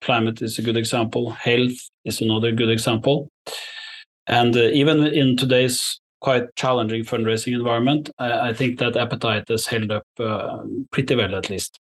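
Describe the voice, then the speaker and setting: A man speaking as an executive guest, giving considered interview answers in measured, halting phrases. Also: medium pitch, fairly even intonation.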